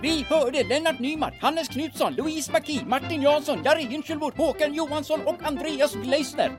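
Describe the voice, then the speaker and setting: A woman reading scripted closing credits as the announcer of an audio drama. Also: high-pitched. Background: loud music.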